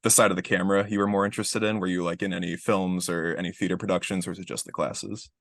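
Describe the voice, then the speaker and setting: A man hosting a podcast interview, asking polite, measured questions with a few hesitant pauses. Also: low voice, flat delivery, vocal fry, no room sound. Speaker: podcast host.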